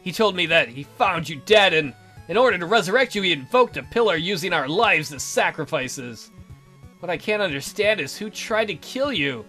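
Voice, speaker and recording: A woman's voice: lowish voice, lively intonation, normal speed, a bit bright, faint music behind, pitched below the performer's own voice. A woman voicing a bitter, scornful man in a visual novel read-through, accusing and contemptuous. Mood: sardonic.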